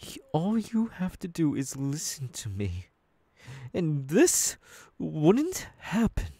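A man playing a menacing, unhinged young supervillain boss in an ASMR audio roleplay, threatening his underlings slowly and deliberately. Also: fairly high pitch, big pitch swings, mellow, husky, no echo, exaggerated voice. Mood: threatening anger.

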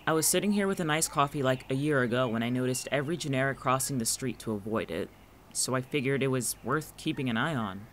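A man's voice: mid-range voice, fast, fairly bright tone, slight background noise, lightly acted. A man playing a guarded, watchful friend in an audio drama, speaking conversationally with dry, exasperated sarcasm. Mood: guarded, cautious. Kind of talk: naturalistic audio drama dialogue.

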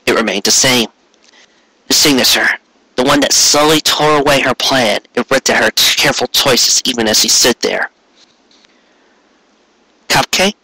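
A man's voice: medium pitch, normal speed, bright, forceful tone, breathy edge, no room sound, low-quality recording. A man reading a story aloud as an amateur narrator, in a theatrical, earnest storytelling style. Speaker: amateur fan fiction reader.

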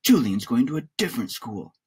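A stern father acted out by a man reading a story, husky and firm. His pitch moves a lot as he reproves, exasperated and in charge. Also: sing-song, fairly quick, a little mellow.

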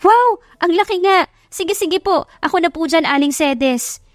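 A woman's voice: extremely high pitch, lively intonation, measured pace, no room sound, clean studio sound, pitched above the performer's own voice. A woman voicing an eager, respectful and easily flustered young working woman in an audio drama, with a polite, bubbly manner. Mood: delighted. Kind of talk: Tagalog audio drama acting.

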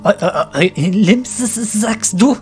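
A woman's voice: mid-range voice, measured pace, a little mellow, soft background music, close to an everyday voice. A woman playing a freezing deity of ice in an audio drama, stuttering heavily with chattering teeth. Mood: shivering.

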